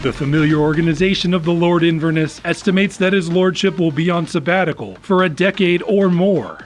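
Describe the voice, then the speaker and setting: An actor playing a cheerful male news anchor, with smooth, formal scripted presenter delivery. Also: on the high side, a little fast, soft background music, close to an everyday voice. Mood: polished, upbeat. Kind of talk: scripted news broadcast parody.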